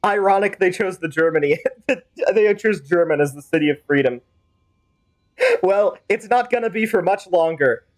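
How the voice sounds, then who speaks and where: A man's voice: high voice, expressive, normal speed. A man livestreaming a game, talking in a casual, rambling, chatty way and slipping into playful role-play. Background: game music.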